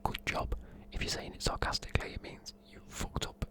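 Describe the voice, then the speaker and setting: A man, whispering softly in a chatty, rambling way while explaining words. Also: brisk. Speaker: ASMR creator. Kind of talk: whispered ASMR explaining slang.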